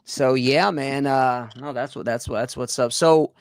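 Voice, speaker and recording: A man's voice: mid-range voice, measured pace, no room sound. Guy hosting a livestream, chill and chatty, laughs a lot, dry sound. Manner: laid-back, reads chat aloud, laughs often. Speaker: livestream host. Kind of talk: casual chat.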